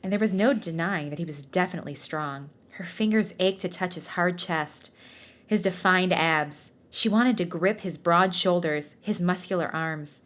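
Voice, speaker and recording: A woman's voice: on the low side, moderate pace, a bit bright. A woman podcast co-host reading fan fiction aloud for comedy, with giggly, playfully theatrical delivery and casual banter.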